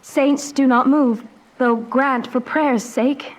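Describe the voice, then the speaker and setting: High-voiced lovestruck young girl. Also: steady tone, takes it slowly. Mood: tender, coy. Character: lovestruck noble teenage girl, earnest and tender. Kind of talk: Shakespearean radio drama acting.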